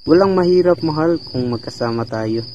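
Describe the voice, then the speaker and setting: A man's voice: on the high side, lively intonation, normal speed, dark tone, highs cut off, natural voice. Man acting stubborn young husband, noisy recording. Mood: eager, coaxing. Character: stubborn young husband eager for many children, later frightened. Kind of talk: amateur radio drama acting with narration.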